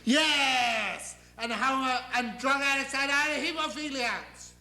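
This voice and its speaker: A man's voice: extremely high pitch, slow pace, pushed and bright, voice pushed up, exaggerated voice. A man voicing a petulant, vindictive, whiny God who blusters imperiously, in a satirical stage monologue. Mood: petulant, indignant. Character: petulant, bellowing, vindictive God, male, lazily all-powerful. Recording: echoey room.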